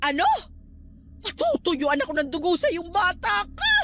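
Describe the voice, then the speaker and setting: A woman's voice: very high-pitched, big pitch swings, moderate pace, noisy background, full character voice. An actress playing a stern, superstitious Filipino aunt who scolds her nephew emphatically in a radio drama. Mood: scolding, alarmed.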